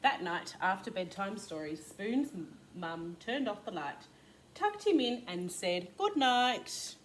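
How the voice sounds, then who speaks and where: Female kindergarten storyteller, very animated intonation, slightly slow, question-asking, noticeable background noise. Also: lowish voice, poor audio. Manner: expressive, asks listeners questions. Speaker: kindergarten teacher reading a storybook. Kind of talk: children's storybook read-aloud with asides.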